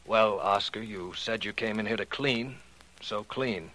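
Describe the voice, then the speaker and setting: A man's voice: lowish voice, unhurried, bright, forceful tone, low-quality recording. A man playing a weary, irritable young scientist, curt and defensive, in 1940s radio drama style. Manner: curt, dismissive. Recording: vintage 1940s radio recording.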